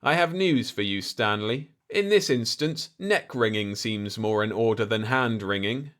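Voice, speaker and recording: A man's voice: lowish voice, a little slow, a bit bright. A man voicing a cool, wry diplomat hero in an audiobook story, with a dry, sardonic manner. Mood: cool, calculating.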